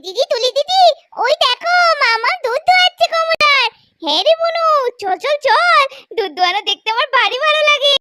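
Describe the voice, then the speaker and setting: Little village girl for a doll tale: eager, exclamatory, tiny squeaky pitch, very noisy recording. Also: animated, a little fast. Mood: excited. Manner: exclamatory, childlike. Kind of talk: children's doll-play story voices.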